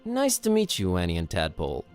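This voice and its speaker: A man playing a wry, casual, sarcastic hero in an animated adventure game, speaking in an offhand way. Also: deep voice, fairly quick, soft background music. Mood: friendly, awkward. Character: wry, casual, sarcastic out-of-town adult male sleuth hero.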